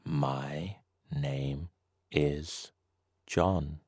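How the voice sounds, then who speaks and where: Super slow deep-voiced guy teaching basic phrases, repeats everything twice, dry sound. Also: steady tone, a little mellow, no room sound. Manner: slow, deliberate, says each short sentence twice. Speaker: English teacher. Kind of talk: slow scripted beginner lesson.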